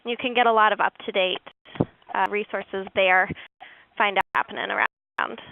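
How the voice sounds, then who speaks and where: A woman hosting a webinar who walks listeners through online resources in a steady, informative way. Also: medium pitch, moderate pace. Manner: steady, informative, occasional fillers. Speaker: webinar host. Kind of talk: webinar presentation. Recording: dry tinny phone-like line.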